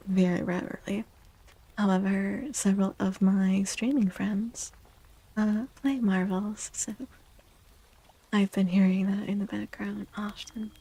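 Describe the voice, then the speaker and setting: A woman speaking softly and gently in a breathy, half-whispered ASMR style, like a livestreamer, often repeating phrases. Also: medium pitch, fairly even intonation, unhurried, low-quality recording. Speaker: streamer and tarot reader. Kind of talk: soft-spoken ASMR livestream with casual chat.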